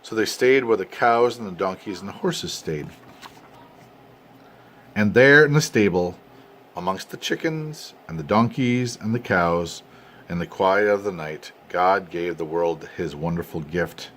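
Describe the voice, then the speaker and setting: An older man, a grandfather, reads a storybook aloud to his family expressively, with dramatic pauses and emphasis. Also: low voice, a bit bright. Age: 60s or older. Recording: noisy amateur home recording.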